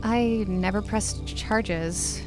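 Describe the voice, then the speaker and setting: A woman's voice: medium pitch, drawn-out words, music in the background. A woman acting a wounded, defensive woman in her twenties in a dramatized audiobook, tough and guarded. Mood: bitter, guarded. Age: 20s. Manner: guarded, bitter, profane. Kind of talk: dramatized audiobook, first-person recounting.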